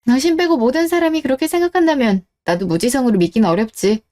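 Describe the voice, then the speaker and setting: Radio drama role: woman as a stern, reproachful wife, cool-headed and unforgiving, slightly high pitch, very mellow timbre. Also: fast, natural voice. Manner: cold, interrogating, reproachful. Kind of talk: radio-drama story dialogue.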